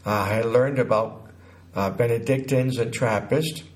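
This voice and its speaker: A man in his sixties from Connecticut recounts his life as an interview guest, haltingly, pausing mid-sentence. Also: medium pitch. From Connecticut, United States. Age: 60s.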